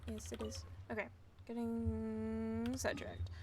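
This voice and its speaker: A woman's voice: fairly high pitch, monotone, takes it slowly, background noise. A woman streaming a video game, casually rambling and thinking aloud as she plays. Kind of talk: casual chatty gameplay commentary.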